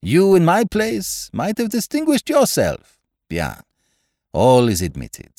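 A man's voice: fairly high pitch, huge pitch swings, slow pace, darkish tone, no echo, clean studio sound, voice pushed up, heavily acted. Guy doing a cheerful fussy french detective for an audiobook, courtly n pleased with himself, super swoopy intonation. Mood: brisk, gaily. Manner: courtly, flourishing, emphatic phrasing. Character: small fussy French-speaking detective, cheerful, placid, self-assured.

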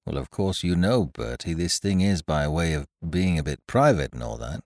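A man reading aloud, voicing a hard-up young gentleman who talks in a gloomy, rueful, chatty way. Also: extremely low pitch, expressive, moderate pace, dry recording, clean studio sound, voice pushed down.

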